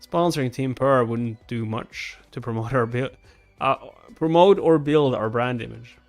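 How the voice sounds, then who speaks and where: A man reads as a businesslike comic-book official, guarded and formal. The voice is mid-pitched and measured, recorded dry with faint music behind. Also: dry recording, lightly acted.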